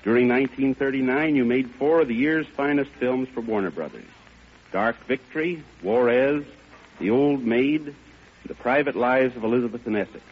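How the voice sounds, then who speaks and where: A man's voice: medium pitch, moderate pace, slightly rough. A man, presenting an award in a stiff, formal prepared speech on vintage radio. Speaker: award presenter, magazine editor. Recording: dull vintage radio broadcast.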